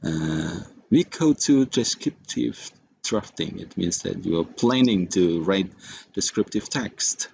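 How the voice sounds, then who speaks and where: A man with an Indonesian accent walks through a software tutorial in a low, creaky voice. He speaks haltingly and at a slow pace, feeling his way from step to step. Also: animated, a little hiss or hum. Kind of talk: unscripted screen-recorded tutorial.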